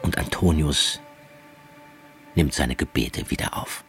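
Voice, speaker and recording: A grave radio-play narrator with a very deep voice, tells a visionary tale. The delivery is intense and incantatory, with music clearly running underneath. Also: male voice, slow pace, exaggerated voice. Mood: hushed, awed. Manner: intense, incantatory, swinging from hushed to forceful.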